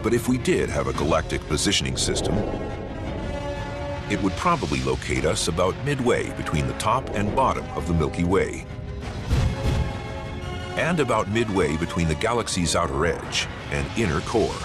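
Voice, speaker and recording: Deep-voiced man narrating a documentary. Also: very animated, moderate pace, loud music behind the voice. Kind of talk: scripted narration.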